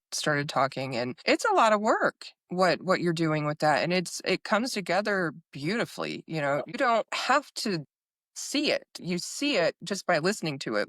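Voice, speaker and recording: Encouraging woman podcast host, lowish voice. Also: a bit bright, dry recording, studio quality. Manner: effusive, encouraging. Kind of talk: casual conversational interviewing.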